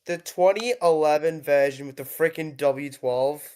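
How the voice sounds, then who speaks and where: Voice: mid-range voice, normal speed, darkish tone, smooth. Slangy, exclamatory podcast voice. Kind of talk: casual car chat. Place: remote voice chat.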